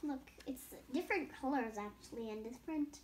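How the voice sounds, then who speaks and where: A woman's voice: sits high, a little fast, a little hiss or hum. A young girl reading a bedtime story aloud with casual explanatory asides, stumbling over words and rereading phrases. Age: child.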